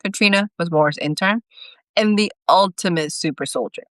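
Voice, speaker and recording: A woman reading her own fiction aloud as host, in an animated, dramatic storytelling manner. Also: on the low side, moderate pace, no room sound. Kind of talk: expressive storybook reading on a live stream.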